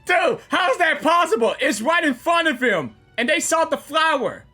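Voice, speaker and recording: An excitable gamer reacts live in a high, bright voice. The talk is casual and slangy, doubling back on itself, with faint game music behind. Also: moderate pace.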